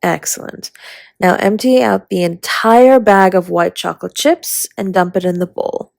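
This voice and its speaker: A woman playing a flirty, doting dragon baker spouse in an intimate romantic audio roleplay, speaking in a husky, breathy, teasing way that often drops to a whisper. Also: medium pitch, measured pace, dry recording. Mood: playful, instructive.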